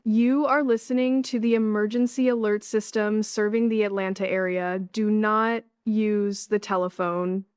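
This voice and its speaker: Crisp, dry-sounding woman announcer. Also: medium pitch, steady tone, a little mellow, no room sound. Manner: formal, deliberate. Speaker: emergency announcer. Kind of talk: scripted formal emergency announcement.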